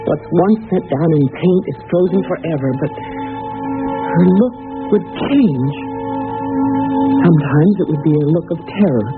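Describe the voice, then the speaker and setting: An actress playing an anxious, secretive young wife in an old-time radio drama, hesitant and tender with dramatic pauses. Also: female voice, lowish voice, animated. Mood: haunted, intense. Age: young adult. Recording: tinny vintage radio, music behind.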